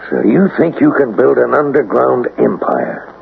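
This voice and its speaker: An American actor playing a firm, skeptical army general in a radio drama, with brisk, authoritative military delivery on an old broadcast. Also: male voice, medium pitch, wildly swooping pitch, gravelly, full character voice. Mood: wary, questioning. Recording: noisy, narrow-band old radio broadcast.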